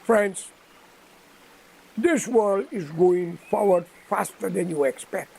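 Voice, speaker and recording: A man's voice: high-pitched, slow, gravelly, darkish tone, husky, low-quality recording. An older man with a Guyanese accent giving an impromptu political address in a preachy, admonishing, emphatic manner. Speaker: political commentator.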